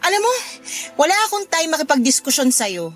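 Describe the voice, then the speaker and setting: Spiteful high-voiced Filipina neighbour. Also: female voice, sing-song, brisk, faint music behind. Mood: irritated, taunting. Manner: mocking, flippant, dismissive. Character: sarcastic, spiteful, unrepentant female neighbour. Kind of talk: Filipino radio drama acting.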